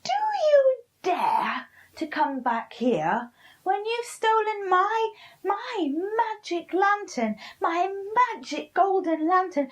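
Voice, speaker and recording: A woman voicing a cruel old witch who threatens in a high, shrill, drawn-out way. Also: exaggerated voice.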